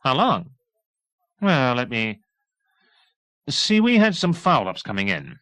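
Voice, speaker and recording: A self-important, defensive man in charge, put on by a male narrator for an audiobook thriller. His delivery is pompous and sarcastic, lifted in pitch and full of swings. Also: huge pitch swings, takes it slowly, fairly bright tone, no room sound, exaggerated voice. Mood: defensive, proud.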